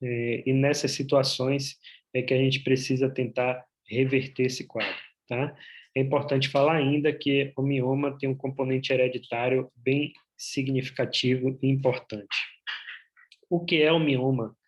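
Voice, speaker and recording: Flat-voiced Bahian man explaining. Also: medium pitch, unhurried. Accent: Bahian Portuguese. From Bahia, Brazil. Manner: explanatory, with frequent "é" fillers. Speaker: gynecologist. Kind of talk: online medical presentation. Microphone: video call.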